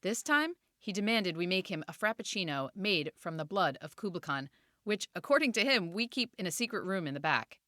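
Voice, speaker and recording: Bright, lively woman acting a chatty barista. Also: lowish voice, a little fast, dry recording, studio quality. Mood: wry, exasperated. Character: chatty, perky, wry female barista. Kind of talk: indie audio drama acting.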